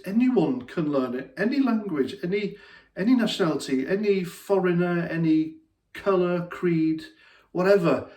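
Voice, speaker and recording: Welsh man hosting a rant video, blunt and heated, a bit high-voiced, heard from across a roomy space. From Wales. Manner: blunt, ranting. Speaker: channel host. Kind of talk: opinion monologue. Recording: echoey room, distant mic.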